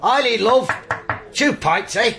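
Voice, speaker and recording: A man's voice: very high-pitched, lively intonation, slow pace, vocal fry, pushed and bright, exaggerated voice. A man acting a dogged, wry police inspector in a British radio drama, with a colloquial, slangy British delivery. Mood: brooding, animated.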